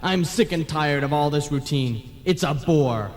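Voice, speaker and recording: Man playing a surly, highish-voiced rebel ghost. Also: measured pace, natural voice. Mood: defiant, sullen. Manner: surly, blunt, theatrical. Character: defiant, fed-up male ghost on trial, work-shy rebel. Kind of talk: old-time radio play acting. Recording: long hall reverb.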